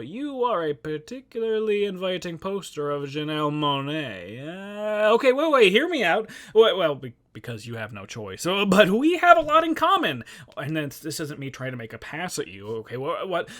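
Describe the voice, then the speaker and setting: A man acting an anxious, higher-voiced young moviegoer. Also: a bit bright. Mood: exasperated, then flustered. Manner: halting, rambling, self-interrupting. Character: anxious, self-deprecating nonbinary young moviegoer talking to a poster. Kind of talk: dramatic monologue reading.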